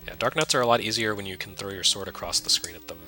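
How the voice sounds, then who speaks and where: A soft-spoken man giving loose, self-deprecating commentary while streaming a retro game, full of fillers and restarts. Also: fairly low pitch, fast talker. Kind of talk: casual live-stream commentary. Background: game music under the voice.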